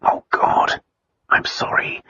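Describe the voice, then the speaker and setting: A narrator voicing an intense, possessive yet tender male lover in a romance audiobook, in breathy, intimate whispers. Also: slow pace, bright, forceful tone, lo-fi sound. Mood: tender, remorseful. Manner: urgent, intimate. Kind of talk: romance audiobook character dialogue.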